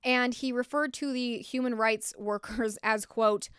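A woman's voice: fairly high pitch, fairly even intonation, a little slow, fairly bright tone, clear, resonant tone, no echo. A young American woman narrating a scripted true-crime story in a frank, opinionated and informal way, close to a desktop microphone. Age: young adult. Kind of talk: scripted narration with candid asides. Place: small log-walled room indoors.

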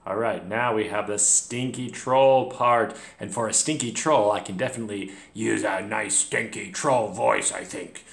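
A man in his thirties, a teacher leading a read-along story lesson for children, clear, encouraging and deliberate. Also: on the low side, steady tone. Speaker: teacher, host. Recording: roomy home recording.

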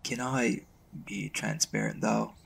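A man performs a young, lovestruck male college mage for a boyfriend roleplay. His voice is low and heavily fried, and he talks slowly in halting, casual phrases, earnest and a little unsure of himself. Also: steady tone, creaky, noisy background, heavily acted. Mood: shy, confessional. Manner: hesitant, halting, casual slangy delivery. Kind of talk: intimate boyfriend audio roleplay.

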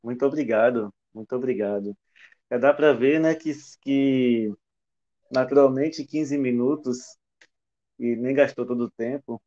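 A man's voice: mid-range voice, dark tone. A middle-aged man hosting an online academic debate on a headset mic, speaking with frequent fillers and restarts. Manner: hesitant, many fillers and restarts. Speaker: event host and philosophy department coordinator. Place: small room indoors. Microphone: earphone headset on video call. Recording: dull lo-fi video call audio.

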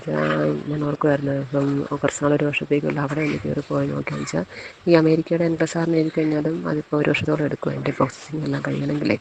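A woman's voice: sits low, level tone, rapid-fire, vocal fry, a little mellow, a little hiss or hum. A woman with a central Kerala accent talking casually to a friend in a recorded phone call.

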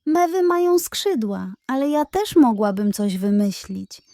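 A woman's voice: a little high, sing-song, unhurried, mellow, dry recording. A woman voicing a small, dreamy young female seal in a children's bedtime story, earnest and wistful. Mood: earnest longing.

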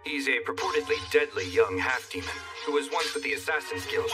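Casting: male actor for a smug, wry young animated hero. Expressive narration, slightly off-mic over clear music. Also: on the high side, a little fast, distant mic, lightly acted. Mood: wry narrating. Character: cocky sarcastic young male fantasy hero. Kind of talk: animated dub narration and banter.

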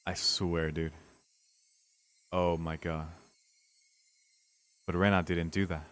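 A man's voice: deep voice, level tone, slow pace, fairly bright tone. A man streaming a visual novel, reading the narration dramatically and breaking into casual, sweary reactions.

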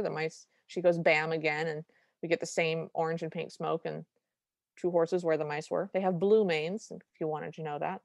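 A woman co-hosting a podcast, casually recapping a film with dry, deadpan humor. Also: lowish voice, no echo. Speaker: podcast co-host.

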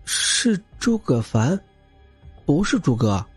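A man plays a timid teenage boy in an audiobook. His speech is halting and fearful, with a slightly husky tone over faint music. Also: a little high, big pitch swings, no room sound, heavily acted. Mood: meek protest.

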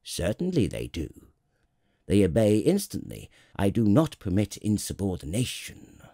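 A man's voice: lowish voice, wildly swooping pitch, measured pace, gravelly, warm tone, no echo, studio quality, heavily acted. Man putting on a pompous, imperious storybook king. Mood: majestic, assured. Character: pompous, kindly absolute king, vain but reasonable.

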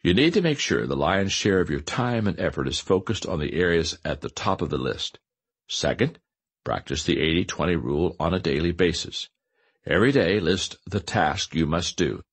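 Deep-voiced man narrating an audiobook, lively intonation. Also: normal speed, husky. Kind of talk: scripted nonfiction audiobook narration.

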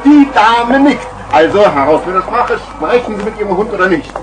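A man's voice: high voice, lively intonation, a little fast, over music, poor audio. A man playing a boisterous street hawker with theatrical, wheedling patter in a vintage children's radio play. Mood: persuasive. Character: boisterous male street hawker selling garden gnomes. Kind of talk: 1970s German children's radio play acting.

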